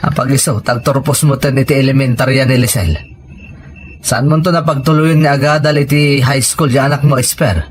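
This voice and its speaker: A man's voice: mid-range voice, steady tone, a little fast, faint music behind, natural voice. A man with a Filipino accent playing a struggling, hard-hearted carpenter husband in an audio drama, blunt and insistent. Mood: weary, worried. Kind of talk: scripted audio drama acting.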